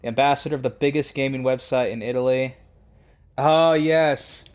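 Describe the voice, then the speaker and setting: A man's voice: mid-range voice, fairly even intonation, moderate pace, smooth. A man streaming a video game, chatting casually with viewers in a profane, joking, rambling way. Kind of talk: casual live-stream commentary.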